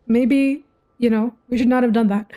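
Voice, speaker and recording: A woman's voice: a little high, fairly even intonation, normal speed, dark tone. A woman giving casual reaction commentary as she plays a game, informal and musing aloud. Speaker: gaming content creator.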